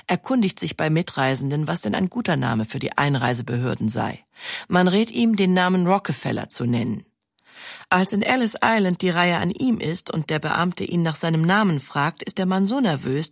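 A woman narrates a documentary in a very low, measured voice. She tells the story evenly, and the sound is dry and close to the mic. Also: no room sound.

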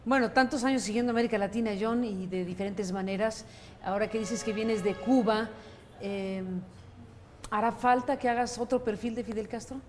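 A woman's voice: on the high side, fairly even intonation, moderate pace, a little hiss or hum. A woman hosting an interview, welcoming her guest formally and asking direct questions. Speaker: interviewer host.

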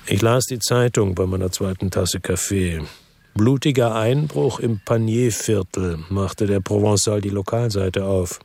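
Low, husky ex-cop narrator,, calm reflective radio drama telling. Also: male voice, a little slow, no room sound. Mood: weary. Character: world-weary ex-cop, middle-aged man, lives by the sea.

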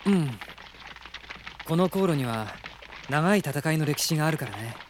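A man playing a gentle, earnest teenage prince in an audio drama, courteous and soft-spoken as he leads his army. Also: fairly high pitch, animated, normal speed, background noise, lightly acted. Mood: attentive, curious. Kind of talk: Japanese audio drama acting.

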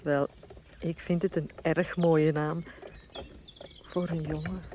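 Casting: devoted dwarf wife and mother, soft warm tone, lowish voice, expressive radio-play style. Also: takes it slowly, a little hiss or hum. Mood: coy, tender. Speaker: radio drama actor. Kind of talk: 1980s Flemish radio drama acting.

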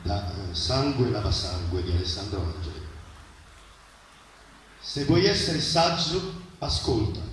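A man reading literary prose aloud as a reader at a live event. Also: medium pitch. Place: live public reading at a festival, picked up from afar. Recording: very echoey, distant microphone, clearly noisy live event.

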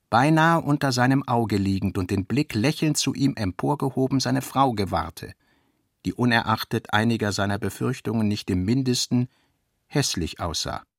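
A man narrating literary prose for a radio play in a measured, deliberate manner. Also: lowish voice, dry recording, studio quality. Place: dry studio.

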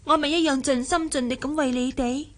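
An actress playing a gentle, loyal young household woman in a Cantonese radio drama, composed and mildly reproachful. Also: high-pitched, steady tone, a little fast, close to an everyday voice. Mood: composed, dutiful.

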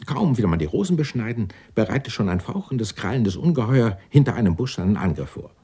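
A man's voice: on the low side, sing-song, a little fast, dark tone, breathy edge, heavily acted. An actor playing an eccentric, indignant, self-righteous clerk in his sixties in a radio drama, speaking in a florid, pompous, theatrical way. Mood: aggrieved. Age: 60s. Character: eccentric, indignant 64-year-old Dutch tax-law clerk, self-righteous. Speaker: murder suspect being questioned.

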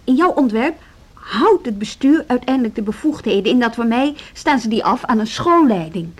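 A pushy younger deputy headmistress in a radio play, highish voice, argues her point. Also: lively intonation, normal speed, slightly rough. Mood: firm, insistent. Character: determined younger deputy headmistress of a music school. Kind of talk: Dutch social radio drama acting.

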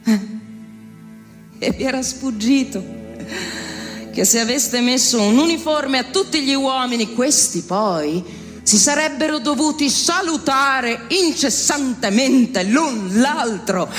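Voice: high-pitched, expressive, slow pace, fairly bright tone, full character voice. Actor playing an outraged accuser, bitter scorn, declamatory stage monologue, rhetorical questions, long reverb, music behind. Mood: indignant, mocking. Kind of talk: theatrical dramatic monologue.

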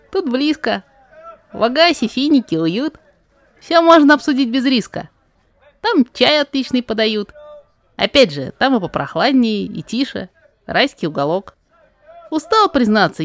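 A woman voicing a talkative, eager greengrocer man in a rhymed audio drama, with brisk, obliging chatter. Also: on the high side, pitched above the performer's own voice.

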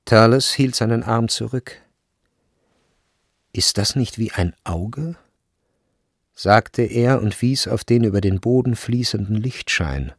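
A man's voice: medium pitch, expressive, takes it slowly, long pauses, dry recording, studio quality. A man narrating a literary radio drama as a scripted storyteller.

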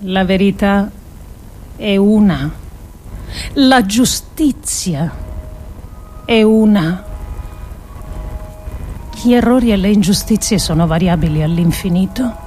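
A woman giving a dramatic scripted radio reading of an essay, solemn and deliberate, with emphatic pauses. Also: medium pitch, warm tone, faint music behind. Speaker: performer reading an essay monologue.

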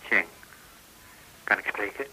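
A man's voice: takes it slowly, pushed and bright, low-quality recording. An actor in a radio play playing a polite, cautious male gold dealer who speaks in clipped, hesitant phrases. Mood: guarded. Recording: hissy old radio recording.

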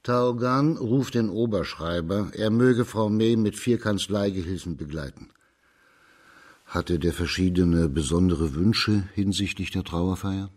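An actor playing a dignified, courteous magistrate in a radio crime drama, speaking formally and with measured care. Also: male voice, sits low, a little mellow.

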